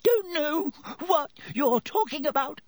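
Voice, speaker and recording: A man voicing a frightened, cowardly traitor in an audiobook, shrill, whining and breathless. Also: expressive, slow pace, no echo, full character voice. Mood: frightened pleading. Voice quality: shrill, squealing. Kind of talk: audiobook character voice.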